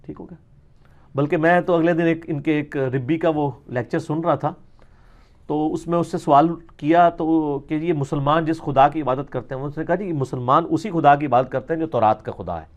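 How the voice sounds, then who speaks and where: A man's voice: fairly high pitch, highs cut off. A man with a Pakistani Punjabi accent giving an informal religious lecture, arguing in colloquial language with rhetorical questions and some English words mixed in.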